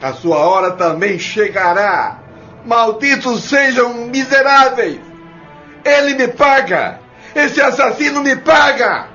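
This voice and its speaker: Audio drama casting: hateful tormenting spirit, menacing, sharp and extremely high-pitched, slow delivery. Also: male voice, faint music behind, full character voice. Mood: menacing fury. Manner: menacing, shouted, slow threats. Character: vengeful, hate-filled spirit of a man, tormenting his victim. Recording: dull lo-fi audio drama recording.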